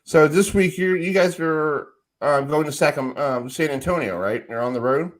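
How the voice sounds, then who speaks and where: A man's voice: on the high side, normal speed. A middle-aged man hosting a sports radio show, asking informal, rambling questions in a casual chat.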